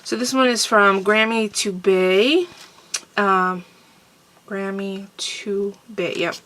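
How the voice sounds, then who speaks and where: A middle-aged woman with a stuffy, congested and husky voice, chatting casually to camera about crafting and clearing her throat often. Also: mid-range voice, takes it slowly, fairly bright tone, a little hiss or hum. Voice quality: husky, fading voice. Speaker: crafting vlogger answering viewer comments. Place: home craft room desk. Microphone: camera on a tripod nearby.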